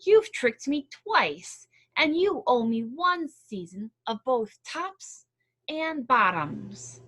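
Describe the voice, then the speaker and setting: A woman voicing a lazy, grumpy male bear for a picture-book read-aloud, indignant and hollering in slow, loud words. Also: high voice, animated, husky, pitched above the performer's own voice, full character voice.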